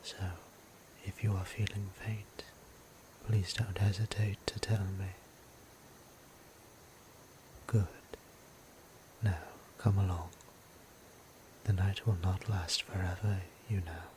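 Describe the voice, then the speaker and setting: A man playing a gentle, centuries-old vampire priest in an intimate audio roleplay, speaking formally and tenderly in a breathy half-whisper. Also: low-pitched, little pitch movement, noisy background. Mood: gentle, solicitous. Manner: formal, measured, tender, old-fashioned phrasing. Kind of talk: intimate scripted roleplay, softly acted.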